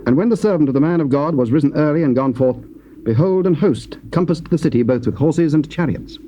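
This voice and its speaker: A man's voice: on the high side, expressive, warm tone. Man in stately scripture narration. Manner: measured, stately reading. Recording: faint tape hiss.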